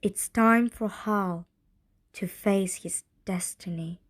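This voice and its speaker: A woman narrating an audio drama in a measured, solemn storytelling style. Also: mid-range voice, background noise, close to an everyday voice.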